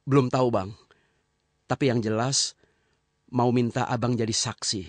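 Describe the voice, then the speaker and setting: A man's voice: mid-range voice, fairly even intonation, normal speed, no echo, studio quality, natural voice. A man voicing a male thief who swears to change his life, speaking earnestly. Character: repentant male thief vowing a new life.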